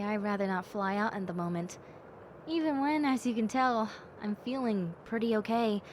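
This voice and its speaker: A woman voicing a gentle, cheerful young bat girl in a soft, playful and sincere audio roleplay. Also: a little high, a little hiss or hum. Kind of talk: audio roleplay addressed to the listener.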